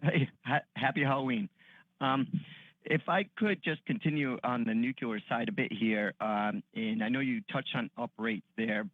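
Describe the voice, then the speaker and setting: Male analyst, mid-pitched, polite, tentative questions, dry dull phone-line sound. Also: moderate pace, no room sound. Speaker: financial analyst asking questions. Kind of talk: earnings call question.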